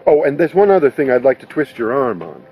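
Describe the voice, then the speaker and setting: A man voicing a glad-handing nightclub owner in a storybook, chummy and persuasive, with a husky voice. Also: mid-range voice, very animated, fast talker, darkish tone, faint music behind, poor audio. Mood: coaxing.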